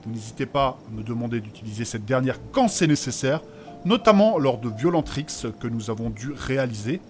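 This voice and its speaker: An actor playing a formal, principled religious mage in an audio fantasy comedy, courteous and wordy in an old-fashioned way. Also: male voice, mid-range voice, lively intonation, fairly quick, faint music behind, close to an everyday voice. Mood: sincere.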